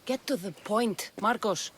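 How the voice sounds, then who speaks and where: A woman's voice: mid-range voice, unhurried, slight background noise, natural voice. An actress playing a tough young female mercenary in a video game cutscene, blunt, dry and sardonic. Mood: wry, impatient.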